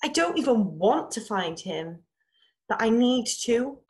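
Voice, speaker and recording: A woman acting a sick, pleading daughter in a drama scene, earnest and halting. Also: fairly high pitch, very animated, a little mellow. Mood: pleading, tender. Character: seriously ill, pleading, practical daughter.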